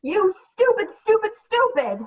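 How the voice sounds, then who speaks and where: A woman's voice: very high voice, sing-song, measured pace, pitched above the performer's own voice, full character voice. A woman playing an exhausted, frantic single mother in a comedic radio play, with melodramatic exasperation. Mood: furious, frantic. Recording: dull, distant mic.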